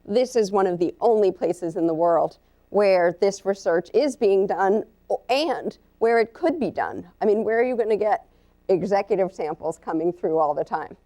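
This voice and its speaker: A woman professor giving a live lecture to an audience, earnest and halting, with many ums and uhs. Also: mid-range voice, lively intonation, dark tone. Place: lecture room.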